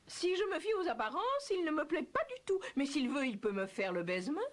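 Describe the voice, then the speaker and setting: A woman's voice: high voice, big pitch swings, a little fast. A woman voicing an imperious, short-tempered and cruel queen in a French cartoon, with a commanding, haughty manner. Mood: disdainful. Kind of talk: French cartoon dub acting. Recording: loud music behind the voice.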